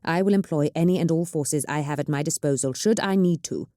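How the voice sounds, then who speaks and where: Stately governor, polite but threatening, low dark voice, woman reading it. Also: a little fast, no echo, studio quality, lightly acted. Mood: measured. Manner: courteous, politely veiled threats. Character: stately imperial planetary governor, measured and veiled.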